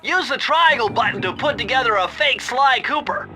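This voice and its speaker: A man's voice: extremely high pitch, animated, normal speed, music in the background, poor audio. A man voicing a brainy, nerdy young cartoon turtle in a video game, precise and bookish as he gives instructions. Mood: pleased.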